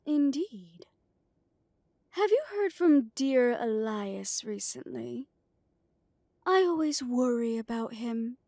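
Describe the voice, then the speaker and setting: A woman playing an imperious, condescending vampire coven leader in an audio drama, with a measured, haughty delivery. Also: fairly high pitch, animated, creaky, warm tone, dry recording, clean studio sound, full character voice. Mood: smooth, chiding. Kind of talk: audio roleplay drama acting.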